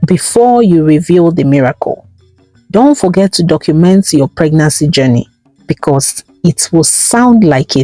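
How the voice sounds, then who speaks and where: A woman voicing a gentle yet commanding holy spirit in a folktale vision. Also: lowish voice, dry recording, low-quality recording.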